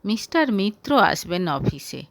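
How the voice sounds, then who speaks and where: A woman's voice: mid-range voice, very animated, measured pace, creaky, dry recording. A woman voicing a firm, businesslike male company boss in an audio story.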